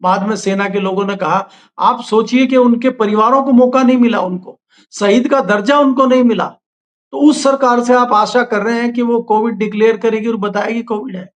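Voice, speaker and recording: A man's voice: very high voice, steady tone, normal speed. A man, a political editor, answering in an interview in a critical, earnest way, on a remote line.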